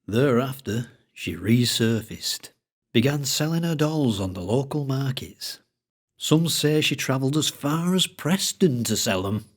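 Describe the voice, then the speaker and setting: A man voicing a secretive older collector with a thick Lancashire accent, speaking in a slow, droning way with dialect grammar. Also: medium pitch, expressive, voice pushed up. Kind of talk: audiobook character voice.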